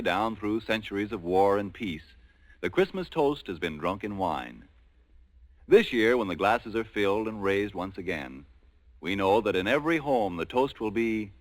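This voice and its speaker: A man with a lowish voice announces in formal 1940s radio style. His solemn, measured oratory rises and falls dramatically, and the sound is dry. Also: dry recording. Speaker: sponsor announcer.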